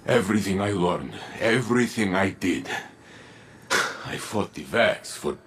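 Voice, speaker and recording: A man playing a solemn, resolute heroic warrior in a video game, speaking in weighty, deliberate phrases. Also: low-pitched, expressive, slight background noise. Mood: solemn resolve. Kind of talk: video game character dialogue. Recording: game music behind the voice.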